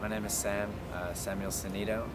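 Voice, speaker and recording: A man's voice: fairly low pitch, level tone, a little fast. Australian young man, noisy backdrop. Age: young adult. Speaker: international student. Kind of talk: documentary interview. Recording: loud noise behind. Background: loud noise.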